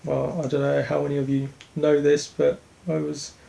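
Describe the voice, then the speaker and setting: A young man from Denmark, a speedway rider answering interview questions in an earnest, halting way with many restarts. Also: on the high side, monotone, gravelly, warm tone.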